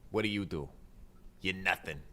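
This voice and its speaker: A man playing a tabletop role-playing game, teasing the others in a deadpan way. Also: low-pitched, moderate pace. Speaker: player. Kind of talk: joking tabletop roleplay.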